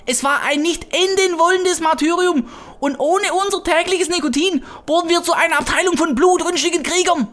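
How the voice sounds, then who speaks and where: A woman's voice: extremely high pitch, normal speed, a bit bright. A woman playing a grumpy, warlike leader of an office tribe, speaking solemnly and melodramatically in a comedic audio drama. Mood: bitter, grave.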